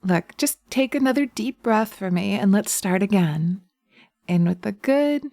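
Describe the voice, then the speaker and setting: A woman voicing a poised, nurturing, gently bossy witch in an audio roleplay, with a genteel, coaxing and chiding manner. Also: mid-range voice, animated, measured pace, no room sound, clean studio sound. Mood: soothing.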